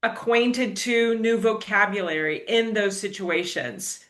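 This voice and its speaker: American woman, English tutor, bright and emphatic, echoey room. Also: mid-range voice, unhurried. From United States. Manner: emphatic, encouraging, gives firm advice. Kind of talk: casual interview.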